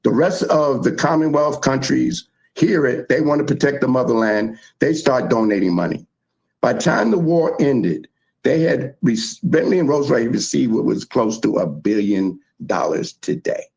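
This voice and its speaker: A man from the South Bronx, telling history in animated, emphatic casual chat as a show host. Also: medium pitch, normal speed, gravelly, lo-fi sound. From South Bronx, New York.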